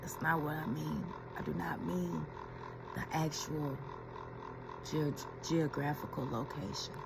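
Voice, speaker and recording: A woman's voice: sits low, steady tone, measured pace, gravelly, very noisy. A woman giving a reflective inspirational talk as a spiritual healing coach, repeating key phrases and laughing as she retells a story.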